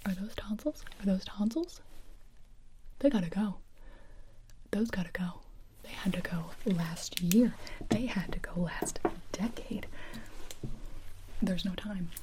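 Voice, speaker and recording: A woman voicing a blunt, insulting female ENT doctor in a comedic ASMR roleplay, whispering in a deadpan way up close to the mic. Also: mid-range voice, takes it slowly, pauses often, noisy background, lightly acted. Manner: deadpan, insulting bedside manner.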